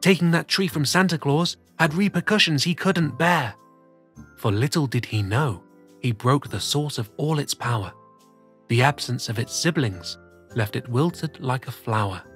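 A man narrating a children's story as host, reading rhymes in a theatrical, deliberate way. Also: mid-range voice. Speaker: storyteller host of a children's read-aloud show. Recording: soft music bed behind the voice. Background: soft music.

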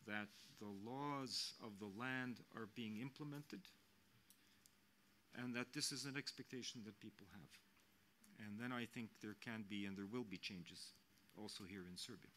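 A man in his sixties speaking as a mission official on a panel, measured and earnest, often restarting his sentences, into a desk microphone in a press hall. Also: fairly low pitch, bright, forceful tone, slight background noise. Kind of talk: unscripted panel remarks.

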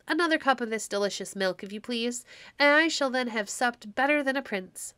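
A woman's voice: on the high side, brisk, sharp tone, clean tone, dry recording, clean studio sound, voice pushed up. A woman voicing a sly, playful male traveller with courtly, whimsical storybook speech. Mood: polite, pleased.

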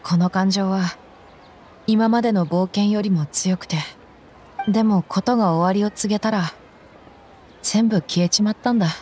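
Tomboyish female mercenary, medium-pitched played by a woman. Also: normal speed, long pauses, mellow, slight background noise, lightly acted. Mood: wistful, reflective. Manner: blunt masculine speech, reflective. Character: tough, tomboyish young female mercenary, soft-hearted. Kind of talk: Japanese game voice acting.

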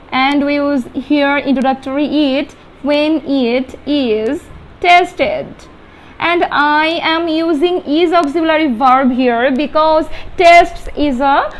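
A young woman teaching an English grammar lesson at a whiteboard, explaining haltingly and repeating herself. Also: high-pitched, a little hiss or hum. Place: indoor room.

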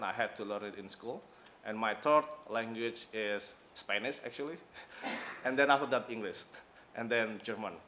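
A man from Indonesia whose first language is Javanese, giving a relaxed conference talk in English with many fillers and small laughs. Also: medium pitch. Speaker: conference speaker. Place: dim lecture hall with audience.

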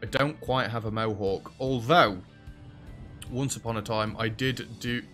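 A young British man with a rather deep voice, chatting casually over a game as host and joking with the characters on screen. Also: a little slow, fairly bright tone, soft background music. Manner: chatty, self-deprecating, talks to game characters. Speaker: gaming video host. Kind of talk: casual let's-play commentary. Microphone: headset.

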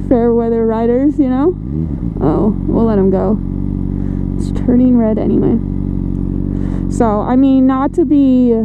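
A young American woman rambles to her viewers from the saddle of a motorcycle, her voice sitting a little high. The helmet mic sounds muffled, and loud road and wind noise roars underneath her. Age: 20s. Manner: rambling, chatty, laughs often. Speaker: motovlogger. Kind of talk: casual chat while riding. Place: on a motorcycle in city traffic outdoors.

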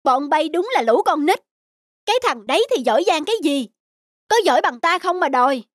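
A woman's voice: high voice, animated, brisk, no echo, studio quality. A woman voicing an arrogant young male village scholar in a cartoon, smug and taunting, over background music. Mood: scornful. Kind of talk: cartoon dubbing.

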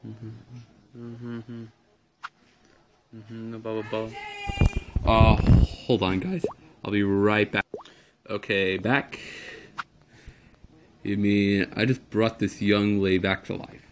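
A man's voice: on the low side, flat delivery, slow pace, pauses often, noisy background. A young man casually commenting over a game, rambling and joking, mumbling through on-screen text. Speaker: gameplay video maker.

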